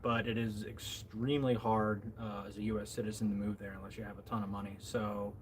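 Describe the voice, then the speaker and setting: A man's voice: on the low side, little pitch movement, a little fast, slight background noise, rough recording. An American man hosting a casual livestream chat, rambling with lots of ums and restarts. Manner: rambling, many fillers and restarts. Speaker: livestream host.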